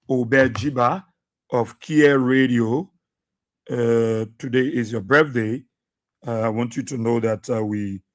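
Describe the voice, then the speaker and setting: A man with a Liberian English accent hosting a radio talk show. He repeats himself and exhorts listeners, with many fillers. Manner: low and subdued for birthday greetings, then loud and preachy.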